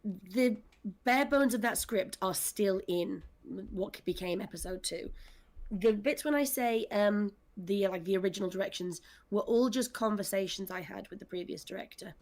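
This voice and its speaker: Woman with a mid pitched voice, writer director type chatting casually on a panel, dry and wry. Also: normal speed, a little mellow. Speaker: audio drama writer and director.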